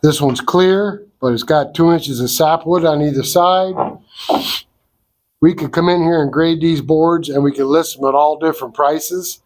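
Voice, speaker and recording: Middle-aged male vlog host with a head cold, unhurried plain-spoken delivery, slightly raised pitch, dry close sound. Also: darkish tone, dry recording, low-quality recording. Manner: slow, folksy, down-to-earth explaining. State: congested from a cold. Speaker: sawmill owner and channel host. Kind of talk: casual outdoor vlog walkthrough. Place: wood shed at a sawmill.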